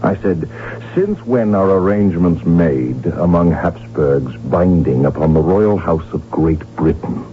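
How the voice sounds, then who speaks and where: A man's voice: deep voice, animated, a little slow, slight background noise. A man playing a proud, ambitious, defiant archduke and devoted husband in a radio drama, speaking with grandiose, theatrical emphasis. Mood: indignant pride. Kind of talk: 1970s radio drama acting. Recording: muffled, humming old radio broadcast recording.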